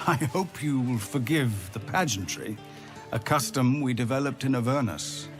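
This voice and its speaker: A man playing a gracious, thoughtful leader in a fantasy video game, speaking in a measured, formal and courteous way. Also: medium pitch, lively intonation, over music, lightly acted. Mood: solemn, tender. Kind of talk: video game cutscene acting.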